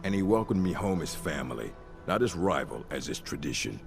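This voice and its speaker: Proud orc fighter for a fantasy game: rock-bottom pitch, blunt delivery, game music behind. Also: male voice, sing-song, a little fast, full character voice. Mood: reflective. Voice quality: deep, gravelly. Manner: blunt, terse, formal. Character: gruff orc warrior of a stronghold, proud and dutiful. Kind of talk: video game character dialogue.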